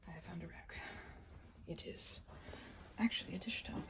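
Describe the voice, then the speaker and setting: A woman chatting in a rambling ASMR craft-video style, thinking aloud in a scattered way, with a breathy voice that often slips into a whisper. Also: on the low side, moderate pace, noisy background. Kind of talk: rambling ASMR craft chat while painting.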